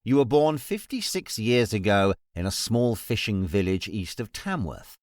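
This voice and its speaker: Male actor as imperious fantasy lord, lowish voice, very animated pitch, cold, cutting, formal, audiobook drama. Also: measured pace, no room sound, clean studio sound. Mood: coldly accusing. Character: cold, contemptuous, all-knowing fantasy lord. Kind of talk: full-cast fantasy audiobook acting.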